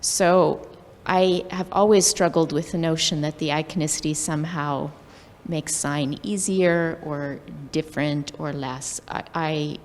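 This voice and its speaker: A woman with a notably low voice speaks as a questioner at a conference. She is deliberate and pauses between phrases. The hall adds long reverb and a faint layer of noise. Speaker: academic audience questioner.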